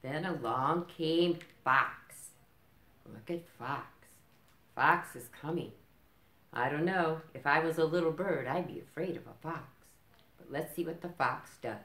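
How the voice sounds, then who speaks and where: Woman, middle-aged, children's story reader; unhurried, slightly low, explanatory, roomy sound. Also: fairly bright tone. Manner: simple explanations with checking questions. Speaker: library story time reader. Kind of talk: read-aloud picture book storytelling with asides to children. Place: indoors in a curtained room. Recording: roomy, echoing small-room sound.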